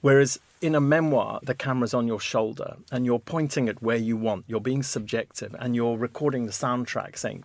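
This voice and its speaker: A man's voice: mid-range voice, gravelly, no room sound. Middle-aged man with a Zimbabwean accent. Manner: reflective, self-deprecating, restarts often. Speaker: author guest. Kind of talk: radio interview.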